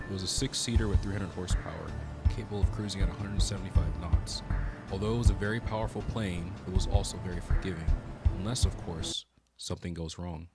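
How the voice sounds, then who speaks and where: A man with a very low voice delivers scripted documentary narration. He is solemn and dramatic, drawing out the suspense. Loud music plays underneath him throughout. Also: fast talker. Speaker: video narrator, channel host.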